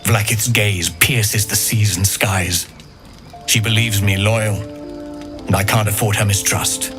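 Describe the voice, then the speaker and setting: An actor playing a solemn githyanki knight and secret rebel in a fantasy game, speaking in a deliberate, formal way. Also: male voice, fairly low pitch, fairly even intonation, over music. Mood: solemn, resolute. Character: grave githyanki knight, a secret rebel against his queen. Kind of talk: dramatic fantasy game acting.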